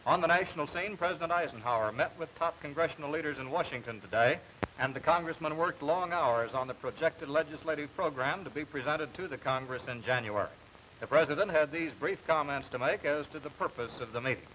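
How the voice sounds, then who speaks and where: An American man anchoring a television news report, reading scripted news in a formal, measured 1950s announcer style. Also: fairly high pitch, fairly bright tone. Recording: noisy 1950s television broadcast recording.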